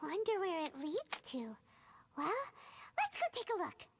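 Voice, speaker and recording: Tiny squeaky excitable fairy girl. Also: a little slow, background noise, exaggerated voice. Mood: chirpy. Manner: speaks of herself in third person, exclamatory. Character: small chirpy childlike fairy companion, cheeky and easily huffy. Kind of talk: anime video game voice acting.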